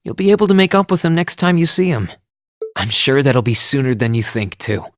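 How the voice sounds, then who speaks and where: Lively, earnest young hero played by an actor. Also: male voice, medium pitch, brisk, no room sound, clean studio sound, natural voice. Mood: reassuring. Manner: sincere, considerate. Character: earnest, kind teenage hero and protective big brother. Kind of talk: anime game dub acting.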